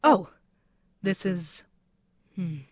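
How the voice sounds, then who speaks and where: An imperious demon queen, acted by a woman for an audio roleplay, speaks slowly and close, with a dry, roomless sound. She is haughty and possessive, musing with pauses as if toying with the one listener before her. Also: medium pitch, expressive, darkish tone, no echo. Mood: pensive, wounded pride. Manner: haughty, deliberate, musing, with pauses and murmured asides. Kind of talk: scripted ASMR audio roleplay, spoken intimately to the listener.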